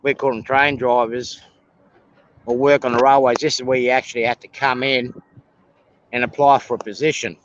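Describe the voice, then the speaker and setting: A man's voice: mid-range voice, flat delivery, moderate pace, long pauses, muffled recording, slight background noise. A man, hosting a live stream outdoors and casually explaining local rail history as he walks. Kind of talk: casual walking tour chat. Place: outdoors near a rail yard.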